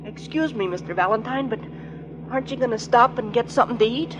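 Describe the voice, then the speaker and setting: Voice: mid-range voice, quick pace, lo-fi sound, heavy background noise, natural voice. An actor playing an earnest young assistant in a 1940s radio drama, pleading and eager.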